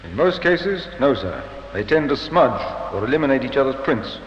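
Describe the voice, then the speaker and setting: Actor as a terse police inspector, echoing. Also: male voice, mid-range voice, sing-song, normal speed, natural voice. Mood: formal. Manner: curt, respectful, matter-of-fact. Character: terse, formal police inspector on the witness stand. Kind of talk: 1950s radio drama acting. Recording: echoey, muffled, noisy old radio.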